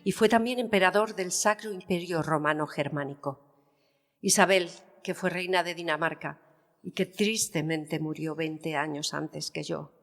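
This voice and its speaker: Actress as a haughty low-voiced queen in a reverberant hall. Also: moderate pace. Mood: proud, bitter. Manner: emphatic, haughty, theatrical. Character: proud, bitter, passionate Spanish queen, defiant and indignant. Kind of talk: dramatised historical interview, audio fiction. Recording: long reverberant hall sound. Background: violin music.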